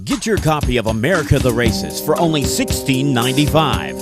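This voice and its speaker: A male announcer reads a scripted promo at a medium pitch. Music plays clearly behind his voice throughout. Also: lively intonation, fairly quick. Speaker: advertisement announcer.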